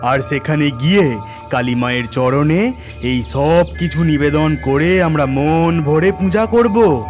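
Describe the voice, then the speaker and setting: A man's voice: fairly high pitch, slow, darkish tone, soft background music. A man voicing an earnest, devout village husband in a cartoon story. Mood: reassuring.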